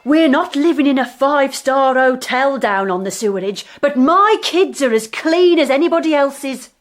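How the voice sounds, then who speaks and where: A woman's voice: high voice, lively intonation, moderate pace. An actress playing a brash, put-upon working-class mother of many children in a radio comedy drama, blunt, rambling and unabashed. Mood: indignant.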